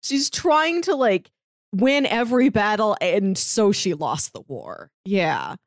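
A woman's voice: medium pitch, big pitch swings, slow, dry recording, studio quality. A woman co-hosting a podcast, chatting casually about a TV series, often agreeing and using "like" as filler. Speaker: podcast co-host. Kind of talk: casual TV recap chat.

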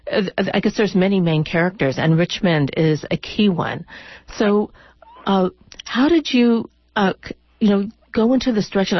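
A woman's voice: fairly low pitch, dry recording, dull audio. A woman hosting a casual radio interview, with tentative, rambling phrasing. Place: radio studio.

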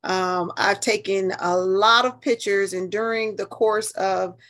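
A woman's voice: mid-range voice, fairly even intonation, measured pace, a bit bright. A woman in her fifties with an American accent, telling stories casually and emphatically as an interview guest. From New Haven, United States. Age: 50s.

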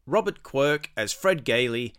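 A man's voice: mid-range voice, lively intonation, measured pace, fairly bright tone, smooth. A man hosting and narrating a radio play in a scripted storytelling style. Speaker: host and narrator. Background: music.

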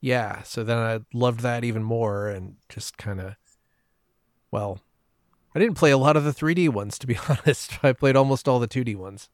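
Male podcast guest, smooth clear voice at a middling pitch, relaxed conversational anecdotes. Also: moderate pace, no room sound, studio quality. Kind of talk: casual chat, telling personal anecdotes.

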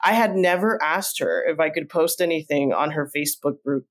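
A man originally from Colombia, a podcast guest, telling his story in a loose, meandering conversational way and jumping up in pitch when he acts out remembered lines. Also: fairly quick. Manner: animated, jumps up in pitch when acting out remembered quotes; loose and meandering, with frequent fillers and restarts.

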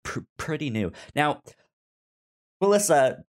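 A man co-hosting a casual film podcast, speaking haltingly and often stuttering over repeated words. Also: a little high, big pitch swings. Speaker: film review podcast co-host. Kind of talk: casual chat.

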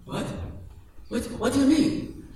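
Man voicing a desperate street sweeper, high strained pleading, stammering, echoey room heard from far off. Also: animated, normal speed, a bit bright, a little hiss or hum.

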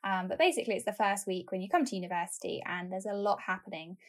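A woman's voice: medium pitch, fast. A young woman hosting a university podcast, chatting in a friendly, casual way. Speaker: host, student recruitment officer.

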